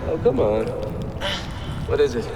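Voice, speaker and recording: An actor playing a sadistic, taunting villain toying with a captive in a video game. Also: male voice, medium pitch, very animated, distant mic. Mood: mocking menace. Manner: slow, taunting. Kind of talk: video game cutscene acting. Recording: echoey reverberant space, clear noise.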